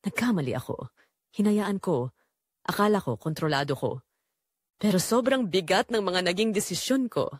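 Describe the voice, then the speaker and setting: A woman's voice: medium pitch, animated, moderate pace, long pauses, breathy edge, dry recording, studio quality. A woman with a Filipino accent voicing a remorseful, pleading husband in an audio drama. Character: guilt-ridden, pleading adulterous young husband.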